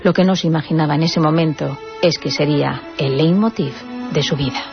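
A woman's voice: sits low, brisk. A woman with an accent from Spain presenting a radio segment as scripted biographical narration. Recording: dull radio audio over music. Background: clear background music.

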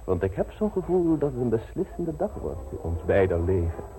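An actor in a radio play voicing a suave, scheming gentleman with a polished, ironic, wordy manner. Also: medium pitch, big pitch swings, fairly quick, a little hiss or hum, rough recording. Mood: confident. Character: suave scheming gentleman political fixer, urbane and teasing.

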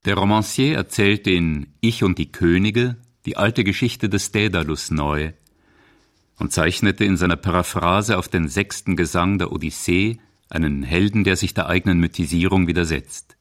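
A man with a deep voice narrates a scripted radio feature. His delivery is formal and measured, like a documentary presenter.

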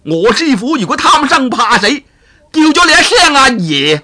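Loud squawky villain, crazy high and yelling, all over the place. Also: male voice, big pitch swings, moderate pace, exaggerated voice. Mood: indignant. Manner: shouting, squawking. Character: brash loud-mouthed male villain, boastful, quarrelsome.

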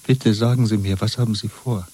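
An actor playing a frightened, broken prisoner under torture in a radio play, with an anguished, pleading manner. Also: male voice, on the low side, fairly even intonation, brisk, warm tone, breathy edge, no room sound, poor audio. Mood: fearful, pleading. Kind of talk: 1970s German radio play acting.

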